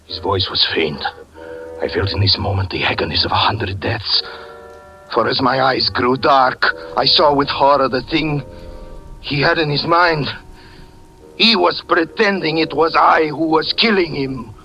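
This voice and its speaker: A man's voice: fairly high pitch, measured pace, dull audio, faint music behind. An actor in 1940s radio acting style, playing a haunted man who breathlessly recounts his own murder. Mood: dread. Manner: intense, breathless dramatic narration.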